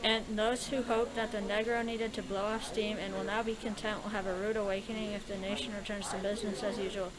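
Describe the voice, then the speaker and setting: A woman's voice: medium pitch, little pitch movement, fairly quick, few pauses, noisy background. A female student reading a speech aloud. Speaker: student reader. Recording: loud crowd chatter behind.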